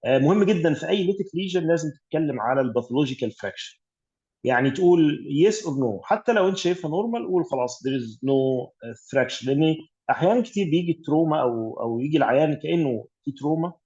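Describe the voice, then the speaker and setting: A fast-paced Egyptian man talks through exam cases with many pauses and fillers. His voice is dark and mellow with a breathy, husky edge. The sound comes through a dull, lo-fi call line. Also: fairly high pitch, animated. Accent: Egyptian Arabic. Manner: explanatory, mixes English medical terms, many fillers. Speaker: radiology tutor. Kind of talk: online exam revision teaching.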